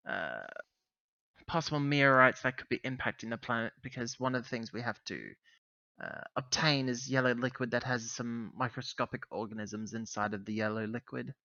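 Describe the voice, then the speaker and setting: Guy in an rpg session, bright punchy voice, halting explanations, dry slightly muffled mic. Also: mid-range voice, no echo. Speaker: tabletop roleplaying player. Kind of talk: casual in-character game talk.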